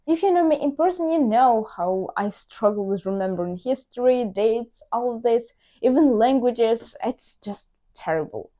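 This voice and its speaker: Woman, vlogger, medium pitch, upbeat and encouraging, slight non-native grammar slips. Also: warm tone. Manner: upbeat, encouraging, talks straight to viewers. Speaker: language-learning vlogger. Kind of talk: direct-to-camera tips vlog.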